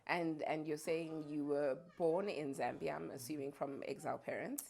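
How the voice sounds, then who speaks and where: A woman with a South African accent hosting a podcast, lively and quick to laugh. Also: sits low, gravelly. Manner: lively, laughing, friendly interjections. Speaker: podcast host. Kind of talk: casual podcast chat.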